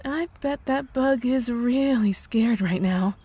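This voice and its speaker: A woman playing a possessive young witch girlfriend in an ASMR roleplay, cooing sweetly with drawn-out words and a menacing undertone. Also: a little high, mellow, slightly rough, no room sound. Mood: cooing, gleeful. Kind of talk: ASMR roleplay audio drama.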